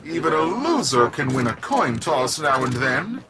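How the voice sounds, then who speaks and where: An actor playing an arrogant, scheming corporate boss in a video game, theatrical, wheedling and domineering. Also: male voice, fairly high pitch, very animated, measured pace, background noise. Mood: smug, wheedling. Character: scheming, arrogant male corporate boss running a rigged arena. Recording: loud music behind.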